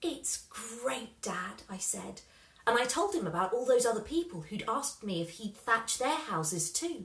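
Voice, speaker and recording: Lady reading a kids book out loud, very lively and bright, bit echoey. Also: on the high side, normal speed. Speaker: children's storybook reader. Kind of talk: expressive scripted story narration.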